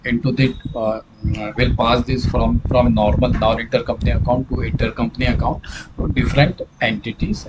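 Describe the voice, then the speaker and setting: A man narrating a hands-on software tutorial as a trainer, speaking haltingly with repetition and frequent self-corrections. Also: mid-range voice, highs cut off, heavy background noise.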